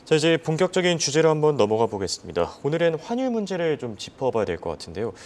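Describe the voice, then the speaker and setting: A man's voice: fairly high pitch, sing-song, fast, darkish tone. A man anchoring a financial news programme, putting topics to a commentator in broadcast style. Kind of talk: broadcast interviewing.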